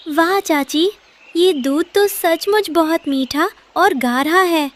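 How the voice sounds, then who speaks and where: A very high, childish cartoon voice for a young boy crow performed by a woman. He is eager and whiny, quick to plead for what he wants. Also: slight background noise. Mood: curious, delighted.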